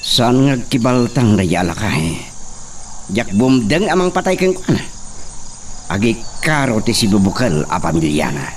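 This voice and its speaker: An actor as a crafty accomplice pushes and questions. His pitch swings widely as he talks. He is set back from the mic, with faint outdoor noise. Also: sing-song, normal speed, far from the mic. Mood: scheming. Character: scheming male accomplice, crafty and pushy.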